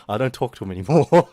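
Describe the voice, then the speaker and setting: A young man streaming as a VTuber, ranting in a casual, animated way. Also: medium pitch, no echo, clean studio sound. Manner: animated, exaggerated, quick mock voices and laughs.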